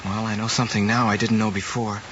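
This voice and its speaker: A man's voice: fairly low pitch, flat delivery, fast talker, lo-fi sound, close to an everyday voice. Man playing an earnest young student, noisy old film. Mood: resigned, contrite. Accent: American. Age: young adult. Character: earnest, guilt-ridden young student confessing to cheating.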